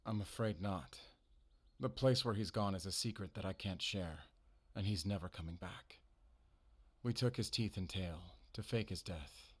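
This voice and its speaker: A weary veteran monster hunter voiced by a man for a cinematic audio drama. His voice sits low and is recorded very dry. He speaks with guarded, earnest restraint, stern but gentle underneath. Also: moderate pace, breathy edge, no echo. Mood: solemn, gentle. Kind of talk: cinematic audio roleplay acting.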